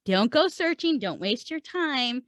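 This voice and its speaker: A woman's voice: sits high, expressive, unhurried, no echo, clean studio sound. A woman hosting an instructional podcast as a coach, talking conversationally and informally, stressing her points with repetition and questions to the listener. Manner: emphatic, repeats points with rhetorical questions; informal, chatty phrasing with check-ins to listener. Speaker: podcast host and writing coach.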